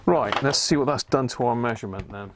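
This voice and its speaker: A British man giving casual, unhurried live commentary as he works at a repair bench, half muttering to himself. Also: medium pitch, background noise. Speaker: host of a live radio repair stream. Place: indoor repair workbench.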